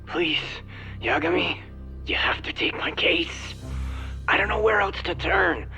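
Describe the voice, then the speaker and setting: A desperate prosecutor begging for help in a game, halting breathy, tense bright voice, music behind. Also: female voice, on the low side, exaggerated voice. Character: desperate prosecutor framed for murder, pleading for help. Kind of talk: dramatic video game cutscene dialogue.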